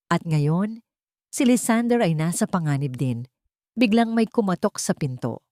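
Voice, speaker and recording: A woman narrates a dramatic audiobook from a script. Her voice is slightly low and highly animated, recorded dry and close. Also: no echo.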